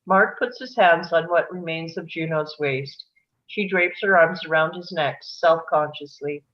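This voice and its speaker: Woman reading out script directions, lowish voice, kinda lo-fi sound. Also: measured pace. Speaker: reader of stage directions. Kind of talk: scripted narration at a live table read.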